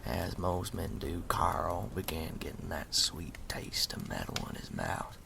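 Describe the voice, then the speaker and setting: A man playing a wry animal storyteller in a campfire ASMR roleplay, speaking slowly and ominously in a gravelly half-whisper. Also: low voice, sing-song, heavily acted. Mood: ominous storytelling. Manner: slow, deliberate, folksy, ominous.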